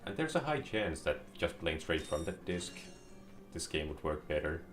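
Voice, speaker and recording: A man's voice: deep voice, big pitch swings, normal speed, faint music behind. A man joking sarcastically as he comments on a game he is playing. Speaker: streamer. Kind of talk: gameplay commentary.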